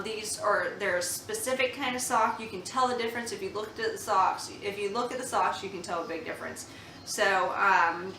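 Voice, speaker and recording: Young mom rambling about baby stuff, lots of ums, bright voice, echoey room at home. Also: female voice, medium pitch, slight background noise. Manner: chatty, earnest, with many ums and restarts. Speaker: mom vlogger reviewing baby products. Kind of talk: casual product review chat. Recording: echoey room, slightly far from mic.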